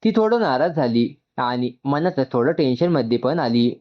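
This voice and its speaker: A man's voice: mid-range voice, animated, normal speed, muffled recording. A man narrating an emotional story from a script.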